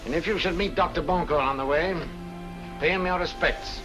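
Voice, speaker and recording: Man acting a smug crime boss, slightly high male voice, sardonic, commanding, 1940s mystery acting, old soundtrack with music behind. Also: brisk, fairly bright tone, close to an everyday voice, poor audio. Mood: menacing. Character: cool smug ruthless criminal mastermind holding a gun.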